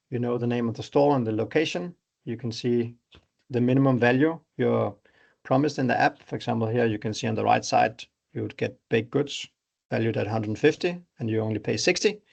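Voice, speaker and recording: Danish-accented man with a gravelly voice. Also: a little mellow. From Denmark. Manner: explanatory, conversational pitch with examples. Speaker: startup founder presenting. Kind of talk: online webinar presentation.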